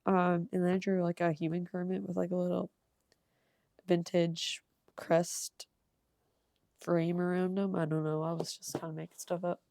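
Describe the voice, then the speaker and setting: Slightly hoarse young woman, dry close mic. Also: on the low side, little pitch movement, normal speed, pauses often, dry recording. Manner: casual and rambling, with frequent ums and soft laughs. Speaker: art channel creator. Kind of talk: casual sketchbook tour voice-over.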